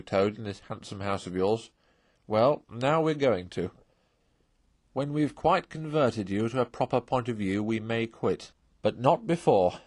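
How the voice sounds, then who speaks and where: A man's voice: on the low side, a little mellow, no room sound, natural voice. Man as a stern, solemn badger. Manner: solemn, firm, moralizing. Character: stern, earnest male badger, authoritative elder friend.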